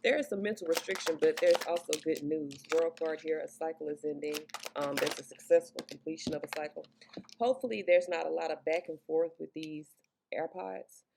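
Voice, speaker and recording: A deep-voiced woman with a gravelly, fry-laced edge gives a loose, chatty tarot reading. She rambles, swears in passing and talks into Bluetooth earbuds. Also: fairly even intonation, fairly quick, background noise. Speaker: tarot reader. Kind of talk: casual spoken tarot reading.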